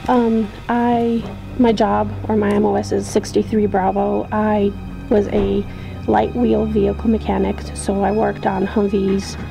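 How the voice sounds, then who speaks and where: A woman's voice: mid-range voice, level tone, a little slow, vocal fry, over music, low-quality recording. A young adult woman from Poland, an army veteran, answering interview questions hesitantly with many ums and restarts.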